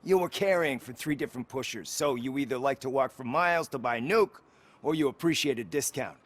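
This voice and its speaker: A man's voice: medium pitch, brisk, lightly acted. A man playing a plain-spoken, streetwise character in a crime video game, insistent and matter-of-fact.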